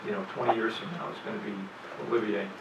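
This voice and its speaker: A man's voice: fairly low pitch, flat delivery, quick pace, gravelly. A man moderating a convention panel, asking friendly, loose questions full of fillers. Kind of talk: casual interviewing. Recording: echoey hall, distant mic, loud room noise.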